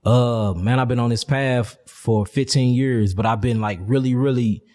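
Male, 30s, from St. Louis. Impassioned conversational teacher, slangy and emphatic, average pitch, long reverb on the recording. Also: fairly even intonation, normal speed, a little mellow. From St. Louis, Missouri, USA. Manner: emphatic, slangy, explanatory, preacher-like build-ups. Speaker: interview guest, health and consciousness teacher.

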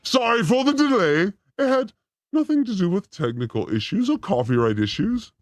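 A man's voice: high voice, no echo, clean studio sound. A man hosting a livestream with a rambling, theatrical comic monologue, exclamatory and given to swearing. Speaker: host of a livestream show.

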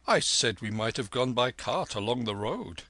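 A man voicing a weary peasant husband with a gruff, grunting manner. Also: medium pitch, lively intonation, normal speed, pushed and bright, no room sound. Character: blue-turbaned peasant husband, weary and grumbling.

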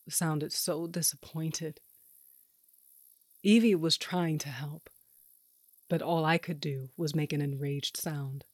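An actress playing a hardened, self-loathing monster hunter, narrating an audio drama in an intense, profane, confessional way. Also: female voice, low voice, darkish tone, no room sound, clean studio sound. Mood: anguished. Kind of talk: first-person audio drama narration with acted dialogue. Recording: music bed behind the voice.

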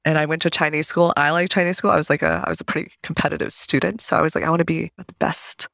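A woman's voice: sits low, steady tone, fast talker, gravelly, fairly bright tone, no echo, clean studio sound. A woman in her early thirties from Colorado, chatting as a guest on a podcast and laughing lightly between thoughts. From United States (Colorado). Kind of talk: casual interview chat.

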